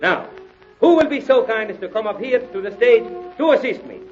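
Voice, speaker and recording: An actor playing a grandiose, courtly stage magician in an old-time radio drama, pompous and theatrical in formal phrases. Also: male voice, sits high, very animated, brisk. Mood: grand. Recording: dull old radio recording, music behind.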